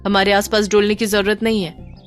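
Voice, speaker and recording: A woman voicing a flustered, excitable rustic man in a comic audio drama, hurried and grumbling, easily embarrassed. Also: medium pitch, faint music behind, lightly acted. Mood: annoyed, embarrassed. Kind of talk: Hindi audio drama character voice.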